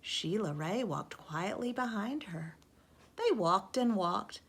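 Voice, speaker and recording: A woman teacher reading a storybook aloud to young children, expressive and emphatic, speaking to them directly. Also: mid-range voice, slow pace, husky.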